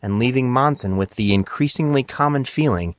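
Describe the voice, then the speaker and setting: A man's voice: medium pitch, brisk, dry recording, clean studio sound. A man reading scripted narration for an audio drama of a young adult story.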